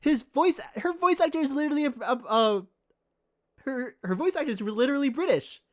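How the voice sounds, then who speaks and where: A woman game streamer giving excitable, casual live commentary and reacting to what she plays. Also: sits high, a little fast.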